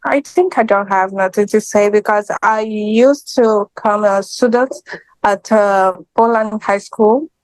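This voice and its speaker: A woman of twenty, a native Portuguese speaker, giving halting public comment in learner's English over a video call. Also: medium pitch, no room sound, poor audio. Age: 20s.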